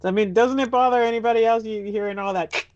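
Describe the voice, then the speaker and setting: A man's voice: high-pitched, steady tone, quick pace, no echo, lo-fi sound. A man improvising casual in-character chat as a player in a tabletop roleplaying game.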